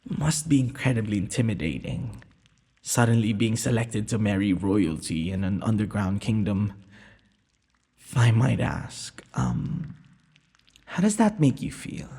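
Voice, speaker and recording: Man playing a breathy, courteous young prince, long reverb. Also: lowish voice, very animated, unhurried, dark tone, full character voice. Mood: nervous. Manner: formal, polite, breathy speech with hesitations. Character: gentle, courteous young underground prince, nervous, earnest suitor. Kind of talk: romantic audio roleplay acting.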